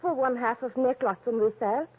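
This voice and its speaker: A blunt young colonial bride in a 1940s radio mystery. Her voice is very high and breathy-rough, her English broken and inverted. The sound is narrow and phone-like. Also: brisk. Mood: blunt, insistent. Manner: blunt, inverted foreign syntax. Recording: scratchy, phone-like old radio recording.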